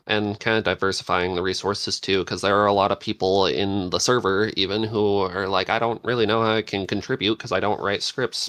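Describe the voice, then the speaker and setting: Low, dry-recorded voice, playful panelist. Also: a bit bright, no echo. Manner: playful, joking. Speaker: podcast panelist. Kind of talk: casual group chat.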